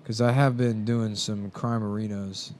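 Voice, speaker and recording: A man's voice: on the low side, level tone, measured pace, a little mellow, slight background noise. A man in his thirties hosting a comedy podcast, talking casually with crude, sarcastic jokes. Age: 30s. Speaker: podcast host.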